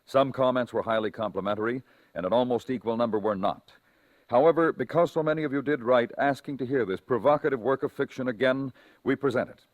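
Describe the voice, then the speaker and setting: A man announcing a vintage radio thriller show in a formal, measured, dramatic scripted style. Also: mid-range voice, no room sound. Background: music.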